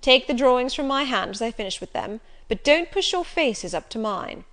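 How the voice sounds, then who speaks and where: A woman reading the lines of an imperious, brusque gentleman who questions and gives orders in a sardonic, commanding manner. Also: sits high, lively intonation, moderate pace, pitched above the performer's own voice.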